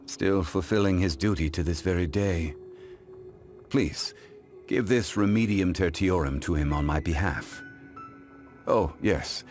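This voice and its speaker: Ancient immortal man, game character, very deep voice, slow deliberate delivery, composed, refined, wise. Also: faint music behind. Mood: grave. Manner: measured, formal, refined, deliberate. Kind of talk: scripted video game character voice lines.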